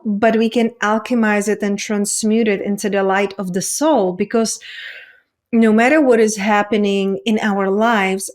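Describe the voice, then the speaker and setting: A woman from the Czech Republic with a Czech accent, speaking earnestly and reflectively as a coach being interviewed, in spiritual self-help phrasing. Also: mid-range voice. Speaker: podcast guest, women's coach. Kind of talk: reflective personal interview talk.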